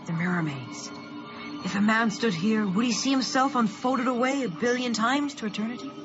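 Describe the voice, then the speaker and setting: A muffled recording under loud music carries a woman as a cautious young boy in a radio play. The voice sits high, earnest and hesitant, like a small-town kid who would rather stay out of trouble. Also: normal speed, natural voice. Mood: hushed awe. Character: Will, anxious cautious thirteen-year-old small-town boy. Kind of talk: radio drama acting.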